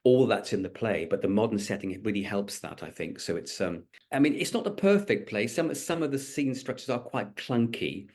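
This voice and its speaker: Low-voiced man with a light Welsh accent. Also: lively intonation, fairly quick, hardly any pauses, darkish tone. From Wales. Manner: thoughtful, many restarts and fillers. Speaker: stage actor, guest. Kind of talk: interview, reflective answers.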